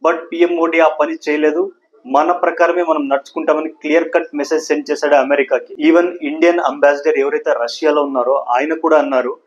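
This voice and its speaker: A man hosts a news explainer in a fairly high voice. He lays out points in an explanatory way, dropping English words into his sentences. The audio sounds a little dull and band-limited. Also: steady tone, fairly quick. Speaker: host of a news explainer channel. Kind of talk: news commentary explainer to camera.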